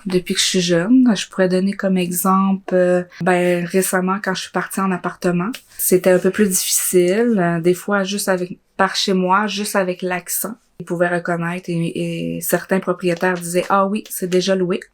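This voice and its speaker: Young female interviewee, Quebec French accent. Lowish, monotone delivery, pausing to find words as she shares personal testimony. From Côte-Nord, Quebec, Canada. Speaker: interviewee, social work student.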